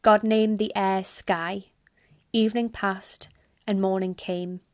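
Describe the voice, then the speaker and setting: A woman's voice: mid-range voice, steady tone, slow, no room sound, studio quality. A woman giving a scripted reading of scripture. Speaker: volunteer scripture reader.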